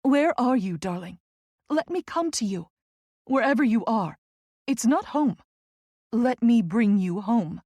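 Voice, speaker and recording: A woman performs a commanding female senior boss from an audiobook novel. She is smooth-voiced, dramatic and fiercely protective, speaking with strong emphasis in a dry, close recording. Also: fairly high pitch, expressive, moderate pace, darkish tone, no room sound, natural voice. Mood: concerned, pleading. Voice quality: smooth alto.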